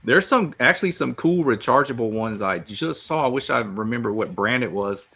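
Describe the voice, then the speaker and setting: A man's voice: mid-range voice, animated, normal speed, gravelly, sharp tone, slightly rough. An American man talking casually and keenly about fishing gear as a podcast panelist.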